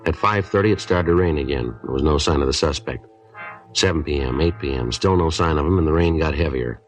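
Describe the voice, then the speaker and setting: An actor playing a terse, steady police detective in a matter-of-fact 1950s radio drama style. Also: male voice, a bass voice, brisk. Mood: deadpan, factual. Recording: 1950s radio recording with music behind.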